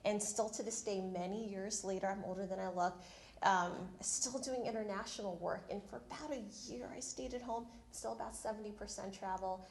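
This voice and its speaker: A woman's voice: medium pitch, fairly even intonation, a little fast, vocal fry, a little mellow, roomy sound, a little hiss or hum. A woman in her thirties from the Philippines, telling her career story on a panel in a candid, self-deprecating way. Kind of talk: panel discussion. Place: university event room.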